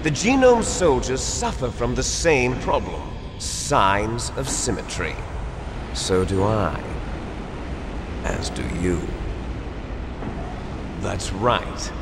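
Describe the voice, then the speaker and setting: An actor playing a bitter, fatalistic video game villain, lecturing with menace. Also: male voice, medium pitch, very animated, takes it slowly, long pauses, background noise. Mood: grim lecturing. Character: bitter, fatalistic villain, cloned son of a soldier. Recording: long echoing hall reverb.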